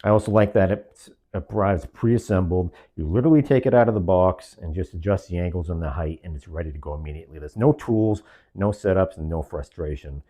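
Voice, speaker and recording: A man with a low-pitched, dark and relaxed voice walks through a product demonstration. He sounds like an online reviewer, explanatory and orderly, listing features in short phrases. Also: a little fast.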